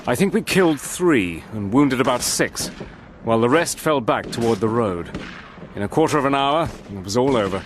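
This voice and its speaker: A soldierly adventurer played by a man tells his wartime tale as a radio drama narrator. His mid-pitched voice is steady, measured and grave, with faint outdoor ambience behind it. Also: slight background noise, lightly acted. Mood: grave, tense.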